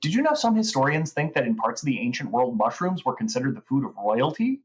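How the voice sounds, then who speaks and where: A woman's voice: a bass voice, big pitch swings, fast. A woman playing a gushing, rambling gnome who is obsessed with mushrooms, in a comedic audio drama. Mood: giddy excitement. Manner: gushing, rambling, wide-eyed enthusiasm.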